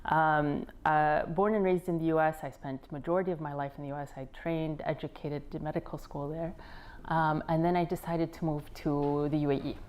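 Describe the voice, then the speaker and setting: Low-voiced American woman, measured and thoughtful. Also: fairly even intonation, a little mellow. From United States. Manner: measured, thoughtful, frequent ums. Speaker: interview guest, physician and company founder. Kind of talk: relaxed interview answers.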